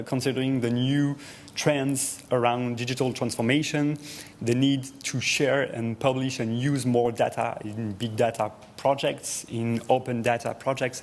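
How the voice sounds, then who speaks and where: A man's voice: mid-range voice, a little hiss or hum. A man from France with a French accent, presenting to an audience, talking with frequent hesitations, fillers and restarts. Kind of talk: presentation talk.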